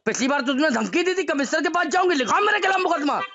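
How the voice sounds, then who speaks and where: A man's voice: very high voice, animated, quick pace, sharp tone, dry recording. A man arguing heatedly on a phone call, belligerent, threatening and insulting, repeating himself and throwing bursts of English into his speech. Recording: dull lo-fi phone call recording.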